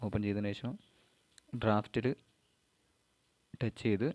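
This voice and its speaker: A man from Kerala, giving an informal step-by-step tutorial in halting, short phrases. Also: fairly low pitch, little pitch movement, a little mellow. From Kerala, India. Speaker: amateur vlogger giving an app tutorial.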